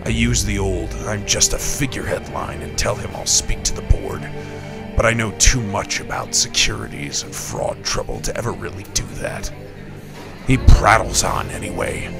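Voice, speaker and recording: A man voicing a stern, brooding male vigilante in a comic-book audio drama, with terse, controlled delivery. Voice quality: low, gravelly.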